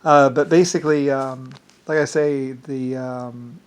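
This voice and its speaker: A man's voice: medium pitch, steady tone, a little slow, clean tone. A man with an Irish accent delivering a rambling, digressive solo monologue with self-assured claims.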